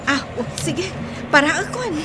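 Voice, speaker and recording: A woman's voice: sits high, lively intonation, moderate pace, noisy background. An actress playing an eager young woman chatting with her friend in a radio drama, with an Ilocano accent. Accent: Ilocano, northern Philippines. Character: eager young woman leaving for work in town.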